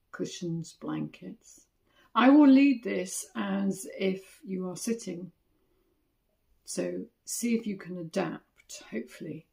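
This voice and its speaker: Slow, gravelly woman guiding meditation. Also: mid-range voice. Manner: soothing, gentle, with long pauses between short phrases. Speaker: meditation teacher. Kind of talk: guided body scan meditation.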